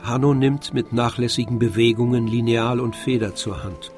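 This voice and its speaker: A man tells a literary story as a radio drama narrator. His voice is mid-pitched and his delivery measured and formal, with faint music behind him. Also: a little mellow.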